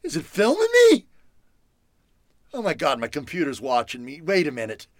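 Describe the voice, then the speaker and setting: A woman's voice: deep voice, huge pitch swings, normal speed, a little mellow, no room sound, studio quality, heavily acted. An actress playing a frightened, groggy woman trapped at home, in old-time radio horror style, stammering and dramatic. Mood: terrified, angry. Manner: stammering, breathless exclamations, dramatic.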